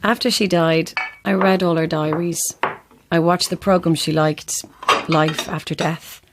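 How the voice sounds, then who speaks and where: A woman's voice: on the low side, steady tone, a little slow, very noisy, lightly acted. A middle-aged woman with an Irish accent playing a grieving mother telling a haunted story in an audio drama. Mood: sombre, resolute. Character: grieving mother recounting her daughter's haunting death.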